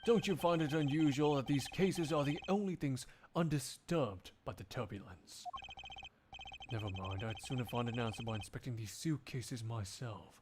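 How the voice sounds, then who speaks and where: A man's voice: mid-range voice, moderate pace, background noise, natural voice. A man voicing a stern, proper, easily exasperated prosecutor in a live game fandub, speaking formally and precisely. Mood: dry, exasperated.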